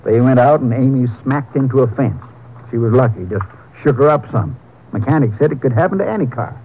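A folksy small-town sheriff acted by a man with a Texan accent and an easygoing, confiding manner. His voice sits at a middling pitch in a muffled old radio drama recording. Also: expressive, fairly quick, slight background noise, lightly acted. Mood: confiding, skeptical. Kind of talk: 1950s radio drama acting.

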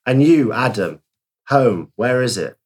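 A man's voice: mid-range voice, expressive, unhurried, slightly rough. A man hosting a podcast with playful, elaborate, eloquent phrasing. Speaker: podcast host. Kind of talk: casual chat.

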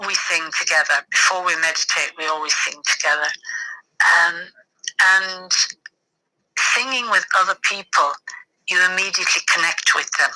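A woman's voice: on the low side, pushed and bright, dry recording, low-quality recording. A middle-aged woman from the west of Ireland with an Irish accent, reflecting on personal experience as a podcast guest in hesitant, thoughtful phrases.

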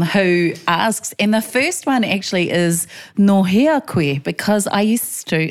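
Lower-pitched New Zealand woman, informal storyteller. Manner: informal, halting, frequently says "like". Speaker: podcast guest, poet. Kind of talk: casual chat, personal storytelling.

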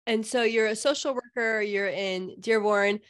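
A woman's voice: medium pitch, steady tone, unhurried. A woman hosting a podcast, talking in a conversational interview style. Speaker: podcast host.

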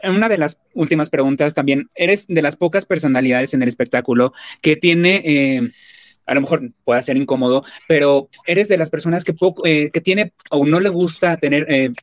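A man with a Mexican accent conducting a casual interview, working toward his questions in a halting, roundabout way. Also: fairly high pitch, dry recording. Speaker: magazine interviewer.

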